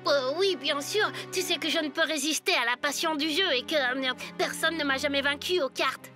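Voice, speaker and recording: Very high-voiced plucky boy. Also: moderate pace, sharp tone, soft background music. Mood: bluffing. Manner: blustering, hesitant with hems and haws. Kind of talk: French cartoon voice acting.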